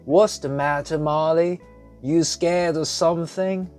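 A man reading an audiobook, voicing a crude, brash young boy who talks loudly and taunts. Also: on the high side, steady tone, takes it slowly, soft background music, pitched above the performer's own voice. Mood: taunting. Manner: loud, taunting, gleeful.

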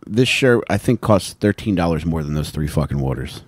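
An American man co-hosting a podcast, chatting casually and comedically with animated, sweary storytelling into a close handheld mic. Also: deep voice, moderate pace, breathy edge, no echo. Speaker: podcast co-host. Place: small indoor podcast room with couches. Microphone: handheld microphone held close.

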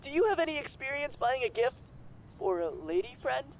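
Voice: high voice, big pitch swings, a little fast, phone-quality sound. An actor playing a dramatic, needy college guy in a visual novel game, with a pleading delivery. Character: dramatic, needy male college best friend.

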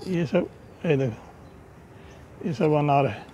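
Man, chatty gardener, a bit high-pitched, outdoor phone audio with faint city hum. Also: animated, extremely slow, mellow, dull audio. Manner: chatty, pointing things out. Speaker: home gardener giving a tour. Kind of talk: casual walkthrough narration. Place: rooftop terrace garden, outdoors. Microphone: handheld phone camera.

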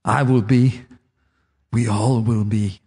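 A husky, haunted old farmer. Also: male voice, on the low side, steady tone, slow pace, a little mellow, big-room sound, exaggerated voice. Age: older. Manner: confiding, eerie monologue. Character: old male farmer haunted and half-mad. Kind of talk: radio drama acting.

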